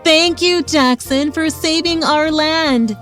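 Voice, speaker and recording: A woman voicing a gentle, worried friendly monster in a children's storybook. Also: sits high, takes it slowly, soft background music, voice pushed up. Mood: grateful.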